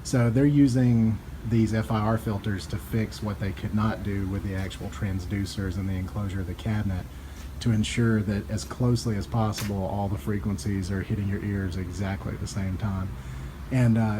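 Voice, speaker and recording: A man with a fairly low voice talks through audio equipment in a casual, conversational way. He explains things informally, like a dealer showing gear to customers. There is clear noise behind him throughout. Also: fairly even intonation, a little fast. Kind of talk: casual product demonstration.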